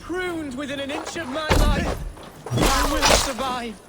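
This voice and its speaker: A man's voice: very high-pitched, takes it slowly, very noisy. An actor playing a pompous, theatrical young Saxon nobleman in a game cutscene. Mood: melodramatic. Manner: pompous, theatrical, florid speech. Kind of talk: video game cutscene acting. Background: orchestral game music.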